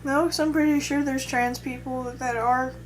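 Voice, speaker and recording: A young adult woman with a somewhat high voice, chatting casually to camera. She rambles and hesitates, restarting her sentences often. A faint hum sits under her voice. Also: steady tone, normal speed, poor audio. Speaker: vlogger. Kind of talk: casual chat.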